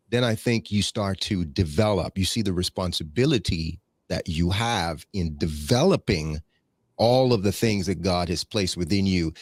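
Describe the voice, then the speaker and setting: A man with a very deep, low voice talks through his thoughts as an interview guest. He rambles, restarts often and leans on fillers, and now and then drifts into a preacherly tone. The sound is close and dry, with no room around it. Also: no room sound. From Bahamas. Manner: preacherly, cites scripture. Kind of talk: casual conversational interview.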